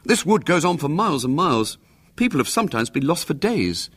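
A man's voice: on the high side, sing-song, a little fast, fairly bright tone, breathy edge, voice pushed up, heavily acted. A man voicing a scheming schoolboy in a light, offhand voice, raised above his own. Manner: casual, offhand.

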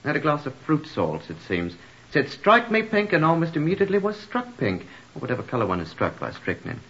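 A man's voice: fairly high pitch, wildly swooping pitch, fairly quick, gravelly, a bit bright, full character voice. An actor playing a flippant, garrulous man with macabre humour and wordy, mock-literary talk in a radio drama. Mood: droll. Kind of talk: old-time radio drama acting. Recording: dull, muffled old radio recording.

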